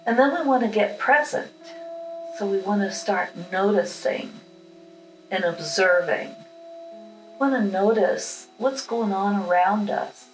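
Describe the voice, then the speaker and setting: A woman leads a guided meditation in a calm, instructional way. Her delivery is markedly slow, with plenty of time given to each short phrase. The sound is noticeably roomy and echoing, with music underneath. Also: medium pitch. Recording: roomy echo with music behind.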